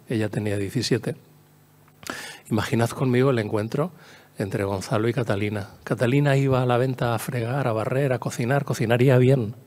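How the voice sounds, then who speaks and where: A man's voice: lowish voice, darkish tone. A man from La Mancha with a Castilian Spanish accent giving a discursive literary lecture, repeating phrases and adding storytelling asides and little tag questions. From La Mancha, Spain. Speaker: conference speaker, poet.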